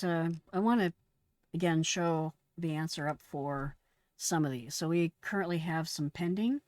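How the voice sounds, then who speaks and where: A woman's voice: low-pitched, darkish tone, no room sound. A middle-aged woman who hosts as a retired dispatcher, giving casual explanatory commentary on emergency radio traffic.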